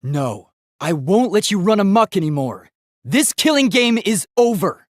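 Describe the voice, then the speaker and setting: Casting: earnest young robot boy for a video game, very expressive pitch, courteous and literal-minded, close dry sound. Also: measured pace, dry recording. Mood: heroic, determined. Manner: formal, precise, literal-minded phrasing. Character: earnest, polite young male robot, naive but resolute.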